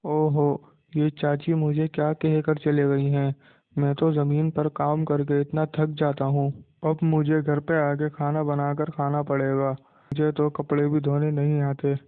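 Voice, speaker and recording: A man's voice: a little high, completely flat delivery, a little slow, clean tone, dry recording, close to an everyday voice. A man playing a wronged, worried village boy in a cartoon moral tale, with a plaintive, dismayed manner. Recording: muffled, narrow phone-like sound.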